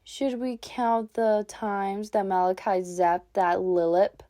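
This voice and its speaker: Woman as young fox trainee, mellow, slow pace, sceptical, dry, questioning, cartoon dub. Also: medium pitch, little pitch movement, natural voice. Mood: dry, snippy. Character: young fox Pokemon, sceptical, easily flustered trainee explorer. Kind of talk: animated comic dub acting.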